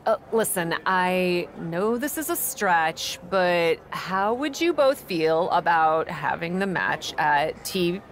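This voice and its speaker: A woman's voice: lowish voice, lively intonation, takes it slowly, hardly any pauses, slight background noise. An actress playing a confident, playful woman wrestler in a video game cutscene. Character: confident, punning female wrestling champion.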